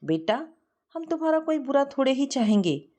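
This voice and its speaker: Woman reading as coaxing older mother, expressive. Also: high-pitched, moderate pace, pitched above the performer's own voice. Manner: coaxing, reasoning. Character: persuasive older mother pressing her son to marry.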